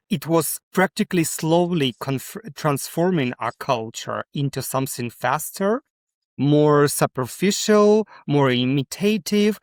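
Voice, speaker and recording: A man with a Ukrainian accent giving reflective interview answers, haltingly searching for words as a podcast guest. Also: fairly high pitch, no echo, clean studio sound. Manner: halting, searching for words in non-native English. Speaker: podcast guest, novelist and cultural theorist.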